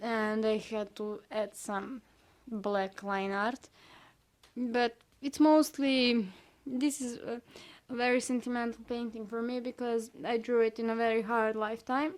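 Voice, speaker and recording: A young woman with a Serbian accent, Serbian her first language, casually showing her sketchbook and talking about each page with hesitant pauses and self-critical asides. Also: on the high side, steady tone. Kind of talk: casual sketchbook tour chat.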